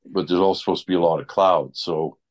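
Deep-voiced man chatting. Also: steady tone, quick pace, a little mellow. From Canada. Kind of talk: casual group chat about weather.

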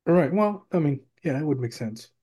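A man's voice: on the high side, expressive, moderate pace, mellow, breathy edge. A man hosting a casual chat in an agreeable, folksy way.

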